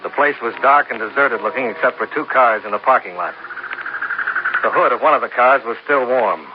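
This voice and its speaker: An actor playing a hard-boiled insurance investigator who narrates a 1950s radio drama in a dry, clipped way. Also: male voice, medium pitch, fairly quick, close to an everyday voice. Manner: dry, clipped, matter-of-fact. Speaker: narrator and lead. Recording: hissy, dull old radio broadcast.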